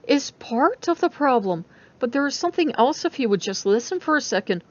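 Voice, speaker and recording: A woman's voice: high-pitched, sing-song, fairly quick, highs cut off, voice pushed up. A woman voicing an awkward, evasive young college woman with a casual, hesitant manner in a story reading. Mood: insistent.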